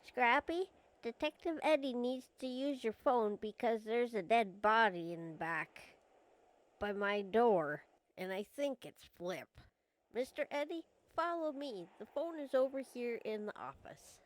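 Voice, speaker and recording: Woman playing slow, high-voiced polite naive female. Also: animated. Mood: eager, proper. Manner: formal, addresses everyone properly. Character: polite, eager, naive female radio character. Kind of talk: old time radio acting.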